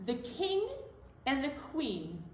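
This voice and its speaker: Echoey, high, lively woman hosting storytime. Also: slow pace. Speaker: children's storytime host. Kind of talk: casual, simple talk to young children. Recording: echoey, distant mic, faint noise.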